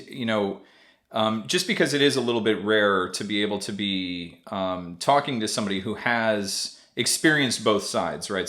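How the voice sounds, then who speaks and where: A man's voice: on the low side, pushed and bright. A man hosting an interview podcast, asking long, rambling questions full of restarts and fillers. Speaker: podcast host. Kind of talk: casual interview chat.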